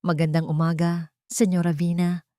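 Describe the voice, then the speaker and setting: A woman voicing a gentle, dignified elderly father in a storybook, calm and mild in manner. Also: on the low side, moderate pace, no room sound, clean studio sound, natural voice.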